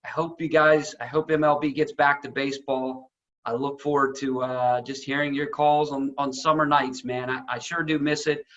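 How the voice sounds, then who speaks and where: A man hosting a casual interview, speaking effusively with halting repetitions, on slightly dull lo-fi audio. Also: medium pitch, little pitch movement, fairly bright tone. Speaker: show host, interviewer.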